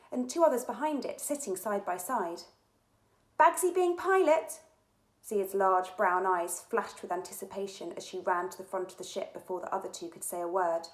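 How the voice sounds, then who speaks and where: A woman author reading her own children's adventure book aloud in an animated storytelling style. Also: mid-range voice. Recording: echoey room.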